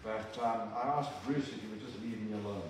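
Older South African male preacher; earnest, halting delivery; distant mic, big church reverb. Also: lowish voice, steady tone. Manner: earnest, halting, with frequent restarts. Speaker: visiting preacher. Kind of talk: sermon with prayer. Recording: very echoey church from a distant mic with room noise.

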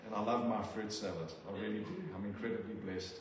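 An older man with a South African accent, a visiting preacher giving an earnest sermon and prayer with halting restarts. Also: lowish voice, steady tone. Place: church. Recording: very echoey church from a distant mic with room noise.

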